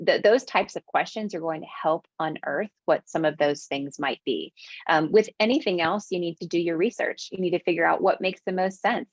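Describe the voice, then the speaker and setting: A woman's voice: deep voice, no echo, highs cut off. A woman from the American South, a business owner answering interview questions with emphatic repetition. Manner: emphatic, repeats phrases for stress.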